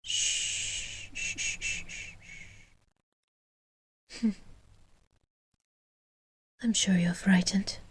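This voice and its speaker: A woman playing a soothing, genteel yet possessive vampire in a breathy, whispered ASMR roleplay. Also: medium pitch, wildly swooping pitch, dry recording, full character voice. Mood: soothing, tender. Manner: slow, gentle, genteel endearments.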